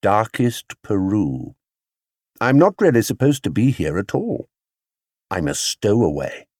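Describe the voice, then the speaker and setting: A man voicing a small, young male bear who speaks clearly, politely and with proud earnestness, as in a children's storybook. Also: on the low side, very animated, a little slow, darkish tone, no room sound, clean studio sound, full character voice. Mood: proud, confiding.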